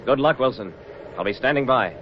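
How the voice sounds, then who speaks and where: An actor playing a dutiful navy diving tender, brisk and commanding, in a 1940s radio drama. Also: male voice, medium pitch, expressive, close to an everyday voice. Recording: dull lo-fi radio recording, noisy background.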